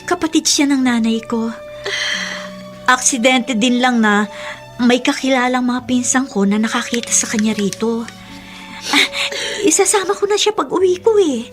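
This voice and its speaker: An actress playing a concerned, soft-hearted loyal friend in a radio drama, earnest and expressive. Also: female voice, high-pitched, moderate pace, lightly acted. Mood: reassuring. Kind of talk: Tagalog radio drama acting. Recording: loud music under the voice.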